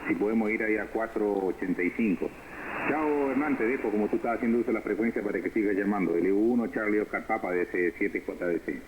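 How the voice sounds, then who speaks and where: A man's voice: fairly low pitch, quick pace. A man talking casually as an amateur operator over a shortwave radio. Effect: shortwave radio transmission. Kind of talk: casual ham radio exchange. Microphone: homemade microphone. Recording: noisy narrow-band shortwave radio reception.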